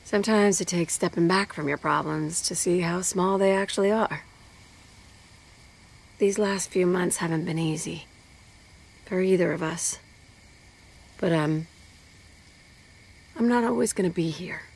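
A weary, loving mother in a game, slightly low, with a soft husky voice full of creak. Her delivery is gentle and reflective. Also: a little slow, pauses often, exaggerated voice. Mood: tender, wistful. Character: tender, weary mother talking to her son. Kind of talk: animated game character acting.

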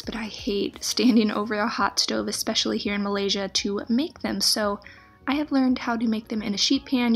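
A woman's voice: mid-range voice, breathy edge. A young woman hosting a cooking channel, giving a chatty, enthusiastic recipe voice-over. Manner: upbeat, chatty, enthusiastic about food. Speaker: plant-based cooking channel host. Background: soft background music.

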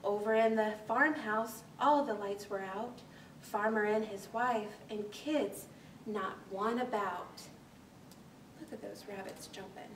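Slow, echoey woman reading a picture book. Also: medium pitch. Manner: animated, deliberate, rhythmic delivery of rhyming verse. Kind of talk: expressive children's read-aloud storytelling. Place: small library children's corner, indoors. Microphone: camera a few metres away. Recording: echoey room, distant mic, faint hiss.

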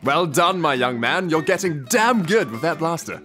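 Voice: mid-range voice, lively intonation, normal speed, close to an everyday voice. An actor playing a devoted talking dog hero who mentors a boy, earnest and melodramatic, in a superhero spoof film. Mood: encouraging. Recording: music under the voice.

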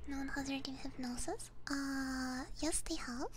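A woman streaming ASMR, chatting to her viewers in a soft, gentle, unhurried whisper, close to a binaural microphone. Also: high voice, steady tone, slight background noise.

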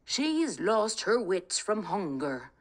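A woman's voice: medium pitch, big pitch swings, slow, pitched above the performer's own voice. A woman voicing a timid, frightened old farmer and father who stammers and babbles. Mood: pitying.

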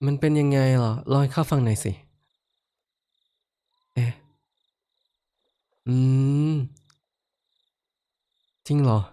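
A man voicing a kindly horned giant lord who speaks softly, comforts the listener, and teases playfully in a roleplay audio drama. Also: medium pitch, steady tone, slow, pauses often, lightly acted. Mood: gentle, comforting. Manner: soft-spoken, comforting, playfully teasing. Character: kindly male giant lord with horns, gentle and teasing.

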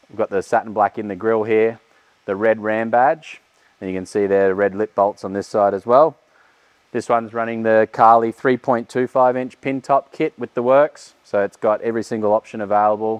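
A man's voice: lowish voice, smooth, muffled recording. A man, giving a casual walkaround tour of a vehicle workshop with frequent fillers. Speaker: workshop host. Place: large vehicle workshop indoors.

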